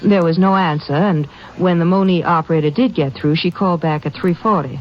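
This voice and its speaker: A woman's voice: lowish voice, a little fast, a little hiss or hum, close to an everyday voice. An actress playing a polite young female telephone operator, in an old radio drama. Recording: dull old radio broadcast.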